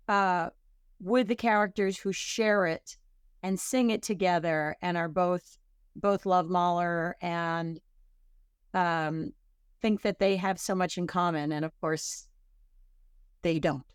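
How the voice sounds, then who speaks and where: Woman guest, slow and measured, smooth clear tone, thoughtful with restarts. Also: fairly low pitch, no echo, clean studio sound. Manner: thoughtful, pauses and restarts mid-sentence. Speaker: guest author. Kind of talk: casual interview talk.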